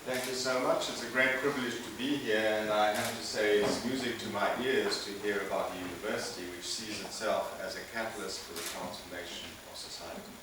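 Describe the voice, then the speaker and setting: A man from Zimbabwe giving a public lecture as guest speaker, lively, jumping between loud bursts and quiet asides. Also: fairly low pitch, a little fast, hardly any pauses. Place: large lecture hall. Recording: echoey lecture hall, mic far off, background noise.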